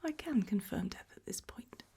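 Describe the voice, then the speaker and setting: A British woman doing an ASMR roleplay as a doctor, whispering gently and breathily, with hesitant pauses. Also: medium pitch, expressive, slightly rough.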